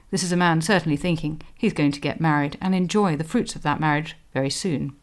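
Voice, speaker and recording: A woman historian hosting a podcast, giving scripted explanatory narration in an articulate, scholarly manner with deliberate emphasis. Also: lowish voice.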